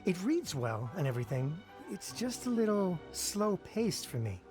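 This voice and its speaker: A frank, casual male fan with a somewhat high pitch. He explains himself candidly in anime game dialogue, with clear music in the background. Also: sing-song, moderate pace. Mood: candid, enthusiastic. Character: candid, casual male reader who loves cheap thrills.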